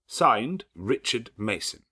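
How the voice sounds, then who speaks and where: Nasal, precise lawyer with a husky edge, pitched up from his usual register, as a male reader puts him on for a storybook. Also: very animated, slow pace, pushed and bright, full character voice. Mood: formal. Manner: precise, official reading. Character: nasal, formal middle-aged male solicitor.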